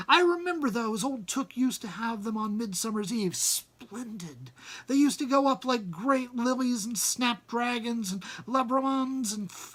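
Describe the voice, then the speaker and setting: A man reads as a little hobbit from a storybook, polite and prim. The voice is husky and excitable, easily flustered into stammering. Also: extremely high pitch, voice pushed up, exaggerated voice. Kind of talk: storybook character reading.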